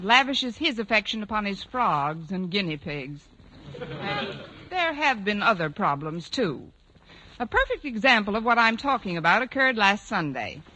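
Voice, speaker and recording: A quick-witted woman who teaches high school in a golden-age radio comedy. Her voice is bright and cutting, and every line lands with dry, wry timing. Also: poor audio. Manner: dry, sardonic, crisply timed. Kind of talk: 1940s-50s radio comedy acting, with narration.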